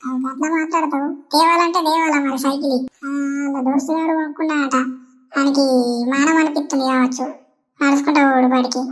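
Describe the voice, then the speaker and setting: High, fast-talking nagging cartoon mother, acted by a woman. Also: steady tone, natural voice. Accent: Telangana Telugu. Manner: nagging, chiding. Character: scolding but doting village mother of a schoolboy. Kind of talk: Telugu comedy cartoon dialogue.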